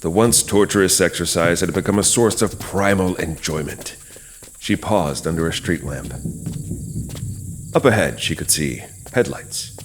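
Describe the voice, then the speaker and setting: A low-pitched man tells a scripted horror tale. He paces his lines dramatically, with deliberate pauses. Noisy night ambience with insects sits clearly behind him. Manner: dramatic, measured storytelling with pauses. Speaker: host and narrator. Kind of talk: scripted horror story narration.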